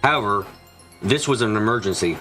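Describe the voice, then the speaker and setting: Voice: mid-range voice, expressive, brisk, sharp tone. A streamer reading a mystery visual novel aloud as narrator, with small stumbles and rereadings over music. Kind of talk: fan dub narration of a mystery game.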